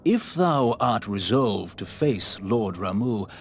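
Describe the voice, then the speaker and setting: A bookish male scholar in a fantasy game. He speaks in a lowish register and takes his time over each formal, old-fashioned phrase. The manner is polite and reverent throughout. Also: lively intonation, slow. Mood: polite, earnest. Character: courteous, bookish male scholar with archaic speech. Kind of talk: formal archaic game dialogue.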